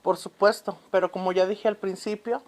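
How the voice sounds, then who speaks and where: A woman plays an unsentimental female historian being interviewed on a fictional programme. Her voice sits at a medium pitch. She explains things deliberately and with authority, in radio drama style. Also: steady tone, close to an everyday voice. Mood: measured, authoritative.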